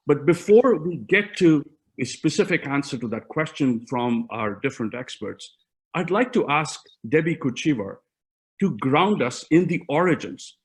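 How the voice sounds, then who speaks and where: Halting, formal male panel moderator. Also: mid-range voice, low-quality recording. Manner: halting, deliberate, frequent filled pauses. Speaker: panel moderator, academic host. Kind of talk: moderated academic panel introduction.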